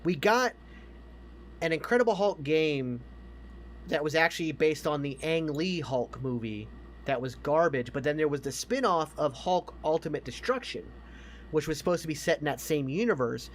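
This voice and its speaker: A man's voice: fairly high pitch, animated, moderate pace, slight background noise. A man co-hosting a casual podcast chat, giving emphatic opinions and swearing freely. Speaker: podcast co-host. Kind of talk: casual opinionated chat about games and movies.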